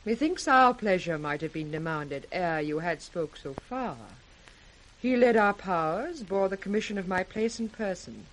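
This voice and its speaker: A woman's voice: lowish voice, lively intonation, normal speed, close to an everyday voice, poor audio. An actress playing a proud, passionate noblewoman, haughty and formal, in 1960s radio Shakespeare.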